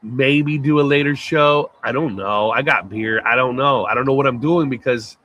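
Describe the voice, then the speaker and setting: Gruff-voiced man, casual chat. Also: medium pitch, normal speed, fairly bright tone. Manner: loose, laughs and swears casually. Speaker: co-host. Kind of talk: casual live-stream chat.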